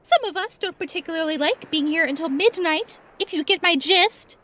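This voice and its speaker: A woman voices a bossy teenage girl with a very high, piercing pitch. She is prim and sarcastic, giving orders with authority in an audiobook drama. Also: moderate pace, fairly bright tone. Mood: impatient, sarcastic. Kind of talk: audiobook fan fiction dialogue.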